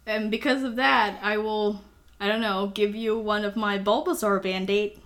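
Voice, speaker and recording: A woman's voice: mid-range voice, steady tone, moderate pace, fairly bright tone. A young woman from Thailand, a native Thai speaker, co-hosting a podcast in casual chat, giggling often and using many fillers. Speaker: podcast co-host.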